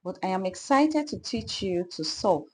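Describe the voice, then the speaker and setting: Lady doing a happy cartoon starfish surf dude, sure of himself, animated, noisy recording. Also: mid-range voice, normal speed, close to an everyday voice. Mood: encouraging. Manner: enthusiastic, laid-back surfer talk. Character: cheerful confident male cartoon starfish surf teacher.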